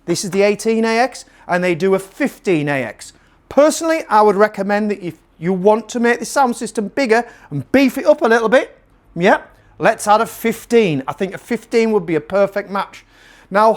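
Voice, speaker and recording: A man presenting a casual product review to camera, emphatic and salesman-like, repeating phrases to drive his point home. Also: sits high. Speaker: presenter and seller of PA gear.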